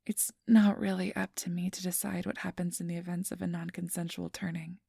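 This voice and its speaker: A woman playing a kindly, apologetic registration clerk in an ASMR roleplay, speaking softly and often in a whisper with a gentle, reassuring manner. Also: fairly low pitch, level tone, brisk, no echo, studio quality, exaggerated voice. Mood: gentle, careful. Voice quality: soft, breathy, often whispered. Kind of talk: ASMR roleplay, soft whispery speech to the listener.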